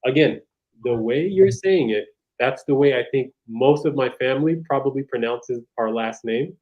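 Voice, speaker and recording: A man's voice: mid-range voice, normal speed. An American man answering interview questions, explaining things step by step in a casual, thoughtful way. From United States. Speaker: guest. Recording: dull lo-fi sound.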